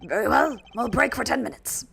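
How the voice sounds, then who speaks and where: A woman voicing a fussy, easily flustered older male judge, with a gravelly, pompous manner. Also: a little high, animated, brisk, a bit bright, slight background noise, pitched above the performer's own voice, full character voice. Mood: stern. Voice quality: gravelly creaky voice.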